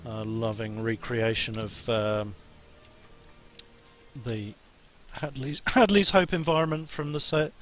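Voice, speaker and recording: A man, a game developer, chatting hesitantly on a live stream while playing, somewhat hoarse as he loses his voice. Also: mid-range voice, slow pace, a bit bright. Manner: hesitant, many ums and restarts. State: losing his voice, coughing. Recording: music playing behind.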